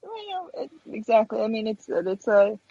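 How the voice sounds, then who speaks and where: A woman's voice: on the high side, big pitch swings, moderate pace, dark tone, husky, no room sound, highs cut off. A woman chatting casually as an interview guest, informal and hesitant, often correcting herself. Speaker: guest, stop-motion animator.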